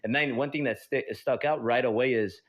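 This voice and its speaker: A man whose first language is Spanish, from the United States, talking earnestly and haltingly with many fillers. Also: mid-range voice. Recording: dull lo-fi audio.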